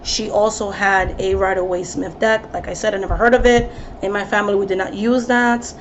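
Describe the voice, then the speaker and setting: A woman's voice: mid-range voice, fairly even intonation, brisk, a little hiss or hum. Chatty tarot lady in her 30s, talks loose with ums, kinda dull lo-fi audio. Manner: chatty, many ums and restarts. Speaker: tarot reader and psychic. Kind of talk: casual chat to camera. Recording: slightly dull recording with faint ambience.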